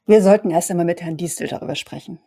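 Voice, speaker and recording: Woman as a level-headed lady vampire, lowish mellow voice, calming, audio drama. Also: fast, vocal fry, no room sound, studio quality. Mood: placating. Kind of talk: German audio drama role-play acting.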